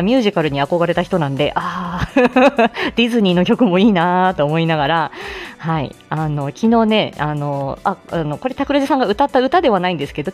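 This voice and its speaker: Woman, casual morning show host, slightly low voice, very animated intonation, chatty and hesitant, music behind. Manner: chatty, hesitant, thinking aloud, often chuckling. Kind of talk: casual solo chat to listeners.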